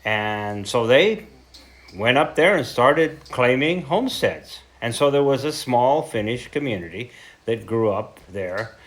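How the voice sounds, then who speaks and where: A man in his seventies with an American accent, speaking informally as a heritage lecturer, telling his story reflectively with pauses and self-corrections. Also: mid-range voice, lively intonation, a bit bright. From central Idaho, United States. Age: 70s. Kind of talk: informal talk to a local group.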